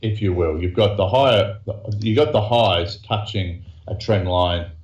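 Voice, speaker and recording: Male guest, halting and slow to explain, fairly low voice, muffled lo-fi sound. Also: darkish tone. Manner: hesitant, explaining slowly with restarts. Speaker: guest trader. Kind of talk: casual trading chat. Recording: echoey, dull lo-fi sound.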